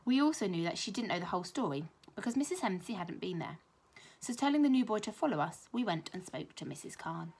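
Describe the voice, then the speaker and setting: A British woman, a primary school teacher, reading a children's story aloud with expressive narration. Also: on the low side, fast talker, creaky, breathy edge.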